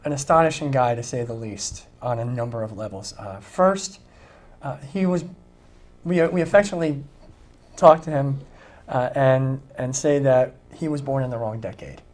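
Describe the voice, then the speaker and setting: A man's voice: mid-range voice, moderate pace, darkish tone. Middle-aged male musician, mid-range voice, conversational guest in a small studio. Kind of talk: conversational interview answers.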